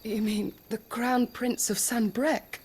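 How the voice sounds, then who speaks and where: A woman's voice: a little high, little pitch movement, a little slow, a little mellow, slightly rough. A woman playing a loyal, concerned attendant to a nobleman in a game cutscene, careful and formal. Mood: questioning. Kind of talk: dramatic video game cutscene acting.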